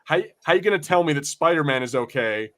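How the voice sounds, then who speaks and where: A man's voice: fairly high pitch, lively intonation, quick pace, a bit bright. A man co-hosting a podcast, chatting casually about pop culture in a profane, exasperated ranting manner. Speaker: podcast co-host.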